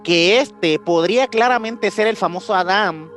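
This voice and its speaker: A man's voice: high-pitched, measured pace, fairly bright tone, soft background music. A man with a Mexican accent hosting a podcast, telling a story casually in slangy speech and often searching for words. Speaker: podcast host.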